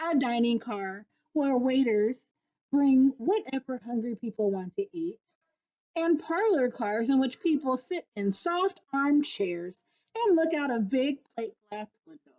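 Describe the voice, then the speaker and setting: A woman's voice: sits high, a little mellow, dull audio, exaggerated voice. A woman voicing a snobbish male passenger train engine in a storybook, boastful and scornful. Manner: snorting, boastful, drawn-out scornful delivery.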